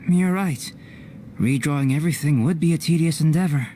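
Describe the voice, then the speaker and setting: A man voicing a calm, scholarly, slightly aloof young alchemist in an anime fantasy game, with formal, deliberate phrasing. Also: on the high side, a little hiss or hum, lightly acted. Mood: calm, analytical. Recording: game audio with clear background ambience.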